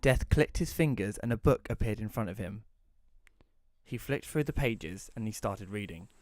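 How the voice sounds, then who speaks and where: A man's voice: lowish voice, animated, moderate pace, a little mellow, lightly acted. A man as an awkward widower telling his story. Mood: reflective narration. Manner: informal, conversational storytelling. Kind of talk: first-person audio drama narration and dialogue.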